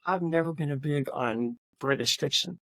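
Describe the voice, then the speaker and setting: A man's voice: fairly high pitch, normal speed, fairly bright tone, no room sound. A man co-hosting a podcast in a casual book discussion, hesitating and repeating words as he goes. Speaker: podcast co-host.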